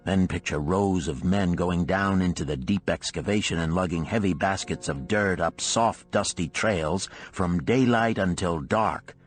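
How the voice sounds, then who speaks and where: A man reading a storybook parable as an audiobook narrator, in a measured, solemn way with deliberate phrasing. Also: deep voice, faint music behind.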